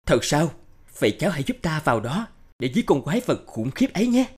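A man playing a brave, indignant young hero in a cartoon, speaking forcefully and earnestly. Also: fairly high pitch, big pitch swings, fast, husky. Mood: surprised, resolute. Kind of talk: cartoon dub acting.

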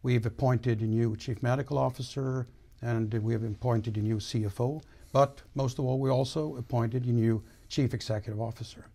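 An older man, a company board chairman, giving a measured, formal address with some hesitations, recorded on a lapel mic in a studio. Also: medium pitch, fairly even intonation, creaky, warm tone. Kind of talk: prepared corporate address. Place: studio against a dark backdrop.

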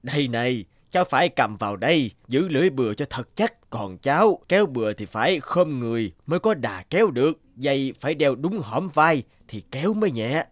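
A man's voice: mid-range voice, big pitch swings, fairly quick, hardly any pauses, no room sound. A man voicing a humble, patient older farmer-scientist in a cartoon, with an instructive manner. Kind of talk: dubbed cartoon dialogue. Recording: music behind the voice. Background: music.

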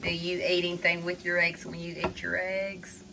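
An older woman chatting casually from behind a phone camera in a home kitchen, gently coaxing a child with tag questions while filming. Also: on the low side, completely flat delivery, moderate pace, gravelly, background noise. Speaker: vlogger filming a grandchild cooking. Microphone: phone camera held by the speaker. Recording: kitchen clatter of pots and dishes.

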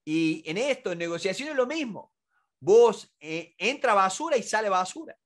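A man's voice: high-pitched, very animated, normal speed, a bit bright. A man in his forties with an Argentine Spanish accent gives an informal lecture to camera, emphatic and full of rhetorical questions. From Córdoba, Argentina. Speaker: negotiation expert and instructor. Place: indoor room, seated at a desk.

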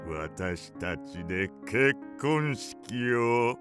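A man playing a stuffy, self-assured rich mole suitor in a radio drama, speaking in a deliberate, formal manner. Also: mid-range voice, lively intonation, over music. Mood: self-satisfied.

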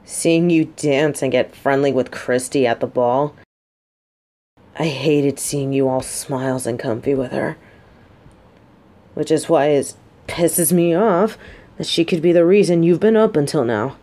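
A woman plays a female military bodyguard in a romantic audio drama. Her voice is on the low side and mellow, with an unhurried pace. She is gruff on the surface but shy and lovestruck, halting and easily flustered. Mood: sulky, puzzled. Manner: halting, flustered, soft-spoken. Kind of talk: scripted romantic audio roleplay.

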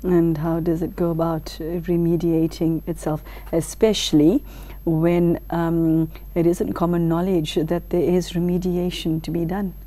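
Woman interviewer, lowish relaxed voice, asks reflective questions carefully with pauses. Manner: tentative, pausing, carefully phrased. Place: large library hall, indoors.

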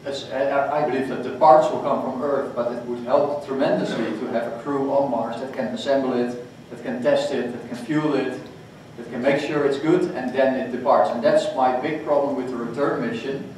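A man speaking on a conference panel, halting with frequent restarts. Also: mid-range voice, fairly even intonation, darkish tone, slight background noise. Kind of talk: panel discussion. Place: hotel conference room, panel table. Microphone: table mic, recorded from far off. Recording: echoey hall recorded from far off.

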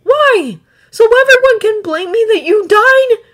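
Woman voicing a high-pitched, distraught human boy. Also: expressive, a little slow, a bit bright, heavily acted. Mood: bitter. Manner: high, emotional, snappish outbursts. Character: distraught, stubborn, self-blaming human boy.

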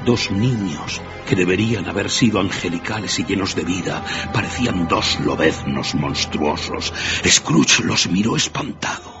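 A man narrating a radio drama as a grave storyteller, measured and dramatic. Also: sits low, expressive. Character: grave storyteller of a ghost tale. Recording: dull radio recording, music behind.

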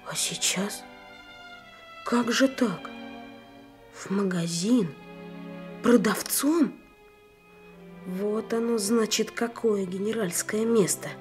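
A brooding schoolboy voiced by a woman thinks aloud in a Soviet radio play. The delivery is slow and pensive, over faint music. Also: medium pitch, darkish tone, lightly acted. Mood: troubled, puzzled. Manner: slow, pensive, indignant questioning. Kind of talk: Soviet radio drama acting.

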